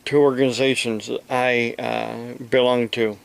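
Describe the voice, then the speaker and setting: A man from the United States giving an unscripted explanation to camera, speaking haltingly in short phrases with long pauses between them. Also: medium pitch, flat delivery, vocal fry, clear, resonant tone. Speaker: amateur radio operator and preacher making a video.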